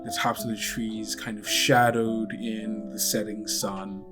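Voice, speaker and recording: Tabletop game narrator, slow, measured, pausing, faint guitar backing. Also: medium pitch, little pitch movement. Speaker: game master. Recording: guitar music under the voice.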